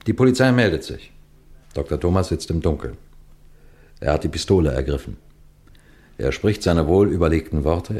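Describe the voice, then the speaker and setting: An actor playing a seasoned, methodical detective chief in a 1960s German radio crime drama, lecturing with deliberate precision. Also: male voice, sits low, a little mellow.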